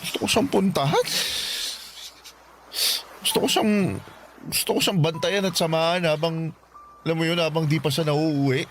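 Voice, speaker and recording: A man's voice: fairly high pitch, normal speed, pauses often, slight background noise, natural voice. An actor playing a grieving, self-blaming young man in an emotional radio drama, with a Filipino accent.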